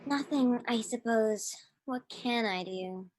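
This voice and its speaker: A woman's voice: fairly high pitch, takes it slowly, no echo. A woman acting a bitter, protective, plain-spoken farm sister in a radio drama. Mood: bitter, reproachful.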